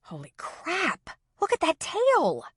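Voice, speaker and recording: A woman's voice: extremely high pitch, huge pitch swings, takes it slowly, a bit bright, dry recording, clean studio sound, voice pushed up, exaggerated voice. A woman voicing a practical adult woman who gives firm, urgent instructions.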